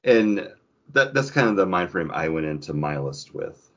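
A man with a fairly low voice co-hosts a podcast. He speaks in casual, conversational chat with his fellow hosts. The audio sounds a little dull. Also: animated, moderate pace, fairly bright tone. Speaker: podcast co-host.

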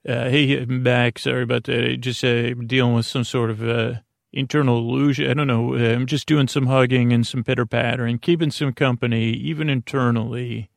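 A man with a creaky voice hosting a sleep podcast, delivering a meandering, halting bedtime monologue that trails off into tangents. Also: medium pitch, little pitch movement, dry recording, studio quality. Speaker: podcast host.

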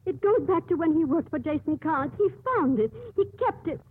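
An actress playing an earnest, stubborn, driven nun, intense and dramatic, in a 1950s radio drama. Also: female voice, high voice, moderate pace, close to an everyday voice. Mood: urgent, pleading. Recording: thin narrowband old radio recording.

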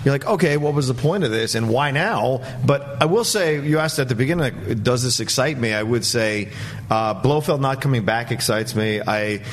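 Man, medium-pitched voice, emphatic panelist, casual commentary. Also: normal speed, slight background noise. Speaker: panelist on a movie news show.

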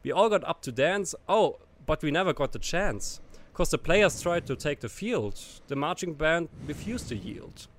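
A man's voice: on the high side, animated, normal speed, hardly any pauses, a little hiss or hum. A young man co-hosting a casual comedy podcast, reading lyrics aloud in a loose, rhythmic way between chatty asides. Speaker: podcast co-host.

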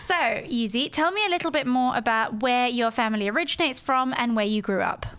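A woman's voice: a little high, fairly quick, a bit bright, smooth. A woman hosting a podcast, chatting in a playful, casual way. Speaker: podcast host. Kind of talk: casual chat.

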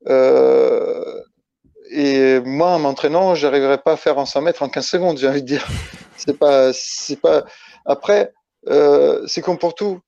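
A man from Italy speaking as a guest in a casual interview, halting and restarting his phrases as he explains. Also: on the high side, creaky, mellow. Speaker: interview guest, ultra-trail runner. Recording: dull lo-fi, roomy echo.

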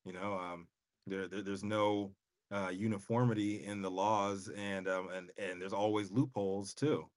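Low-voiced man chatting with many fillers. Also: level tone, moderate pace, no echo. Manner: rambling, many fillers and repeats. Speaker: co-host. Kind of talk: casual chat.

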